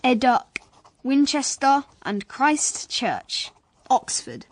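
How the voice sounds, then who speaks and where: A man's voice: extremely high pitch, wildly swooping pitch, takes it slowly, no room sound, full character voice. An actor with an English accent playing a dutiful eight-year-old boy in a radio drama. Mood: reciting. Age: child figure. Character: young English schoolboy, earnest and dutiful.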